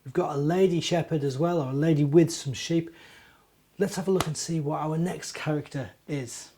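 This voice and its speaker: Male minister as children's storyteller, mellow timbre, simple phrasing aimed at young listeners. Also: fairly high pitch, normal speed, a little hiss or hum. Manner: asks the young listeners questions. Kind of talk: children's story time, narration and gentle talk.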